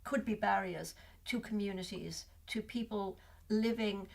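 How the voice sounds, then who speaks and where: A woman's voice: medium pitch, measured pace, gravelly, darkish tone. An older woman with a Liverpool accent, a guest in a casual interview, talking reflectively with many restarts. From Liverpool, England.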